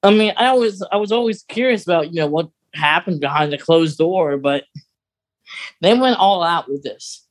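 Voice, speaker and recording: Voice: medium pitch, expressive, moderate pace. A podcast host chatting casually as an enthusiastic fan, often breaking off and restarting sentences.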